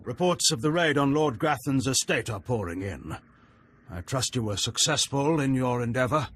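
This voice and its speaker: A husky, formal Sith nobleman. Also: mid-range voice, animated, normal speed, fairly bright tone. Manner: formal, exasperated. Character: worried aristocratic Sith father, formal.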